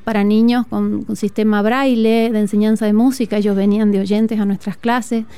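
An Argentine woman, a music teacher, speaks in an earnest, reflective way and pauses often on "eh." Her voice is mellow and smooth. The sound is dry and close. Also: mid-range voice, little pitch movement, no room sound. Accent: Argentine Spanish. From Tucumán, northern Argentina. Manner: earnest and reflective, with frequent "eh" fillers. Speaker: music teacher and flutist, guest. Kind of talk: conversational interview. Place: outdoor school courtyard.

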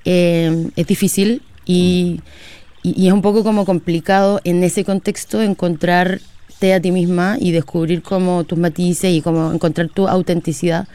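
A young Chilean woman talks casually and informally as a guest, with plenty of fillers. Her voice is smooth and clear, and the sound is dry and close. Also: fairly low pitch, fairly even intonation, measured pace, warm tone, dry recording. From southern Chile. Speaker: guest, writer. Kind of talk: casual conversation. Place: outdoor garden.

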